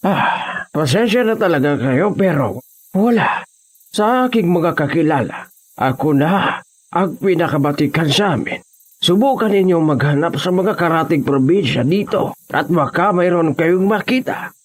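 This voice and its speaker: A man playing an elderly veteran folk healer, calm, frank and measured, in a horror audio drama. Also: on the high side. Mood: apologetic, resigned.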